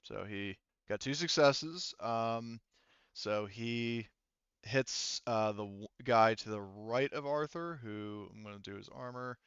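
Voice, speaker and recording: A man acting as game master, narrating a tabletop roleplaying game in a halting, thinking-aloud way. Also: on the low side, a bit bright, smooth, no room sound, dull audio. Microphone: headset.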